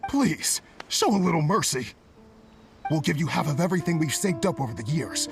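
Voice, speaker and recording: An actor playing a shifty, stubborn bandit boss in an anime video game, grumbling and defensive in a dramatic way. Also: male voice, fairly high pitch, animated, brisk, vocal fry, faint music behind. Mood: pleading. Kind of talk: English-dubbed anime video game acting.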